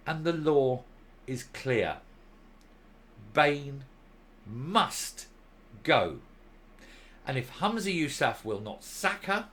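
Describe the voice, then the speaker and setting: A male commentary host reading a document aloud and commenting on it, stressing key words with deliberate, emphatic phrasing. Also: mid-range voice, fairly bright tone.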